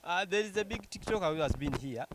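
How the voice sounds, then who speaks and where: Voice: mid-range voice, animated, quick pace. An interviewer with a Ugandan accent asking short, probing questions in a casual interview. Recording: loud background noise.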